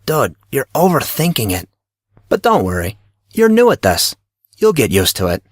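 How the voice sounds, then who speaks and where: A man's voice: medium pitch, wildly swooping pitch, moderate pace, no room sound, studio quality. A man playing a cocky, breezy teenage schoolboy who talks in slangy, self-assured teasing for an audio drama. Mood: cocky, explaining. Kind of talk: audio drama character reading.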